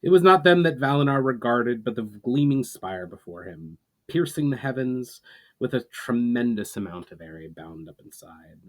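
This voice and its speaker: A man reading a fantasy story aloud as dramatic narration, in a measured, solemn manner with emphatic phrasing. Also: mid-range voice, animated. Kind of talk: dramatic audiobook-style narration.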